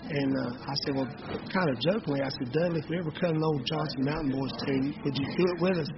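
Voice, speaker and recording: A man's voice: fairly high pitch, fast, muffled recording, noisy background. A man, chatting easily in an interview as a banjo-playing bandleader with folksy phrasing. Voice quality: raspy voice. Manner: easygoing storyteller, folksy phrasing. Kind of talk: casual interview chat.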